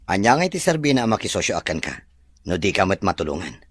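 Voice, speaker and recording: Husky, humble young suitor, acted by a man. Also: low voice, big pitch swings, fast talker, no room sound, heavily acted. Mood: apologetic, firm. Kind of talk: Ilocano romantic audio drama acting.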